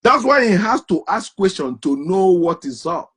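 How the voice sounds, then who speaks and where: A man's voice: high voice, big pitch swings, moderate pace, a bit bright, breathy edge. A man giving an impassioned, emphatic monologue on religion, hammering home rhetorical questions. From Africa. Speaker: commentator on religion.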